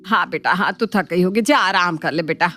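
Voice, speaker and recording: A woman's voice: on the low side, moderate pace, bright, forceful tone. A woman voicing a scheming, middle-aged cartoon mother-in-law who scolds, coaxes and dotes on her daughter. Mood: doting. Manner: scolding, coaxing, commanding. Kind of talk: Hindi cartoon family drama acting. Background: faint background music.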